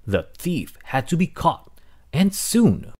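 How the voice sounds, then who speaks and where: A man reading a children's storybook aloud as narrator, in an expressive, dramatic way. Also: a little high, takes it slowly, breathy edge. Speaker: read-aloud host.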